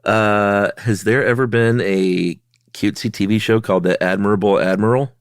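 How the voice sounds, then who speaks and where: A man's voice: on the low side, steady tone, moderate pace, no room sound, studio quality. A man co-hosting a podcast in casual, easygoing banter, his voice stuffy from a head cold. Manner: easygoing, self-deprecating banter. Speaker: podcast co-host. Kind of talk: casual chat.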